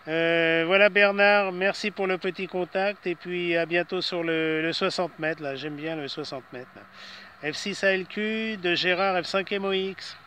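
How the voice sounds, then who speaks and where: Older male radio amateur, sharp and slightly high, conversational with call signs, outdoors with light background noise. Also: steady tone, a little slow. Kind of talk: ham radio contact, casual with call signs. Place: outdoors in a city park.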